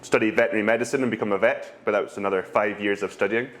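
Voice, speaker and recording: A young adult man from Scotland with a Scottish accent, answering interview questions in a relaxed way with frequent ums and pauses. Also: on the low side. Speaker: guest, whisky brand ambassador.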